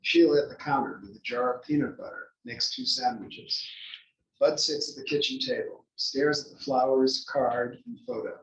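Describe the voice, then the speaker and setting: A man's voice: medium pitch, moderate pace. A man narrating stage directions from a script at a table read. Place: library room, table read. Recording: echoey library room, distant mic.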